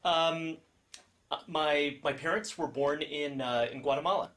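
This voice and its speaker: A man's voice: fairly high pitch, unhurried, a bit bright. A man from San Francisco giving a talk to a class over a video call, hesitant, with many ums and restarts. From San Francisco, USA. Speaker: guest speaker.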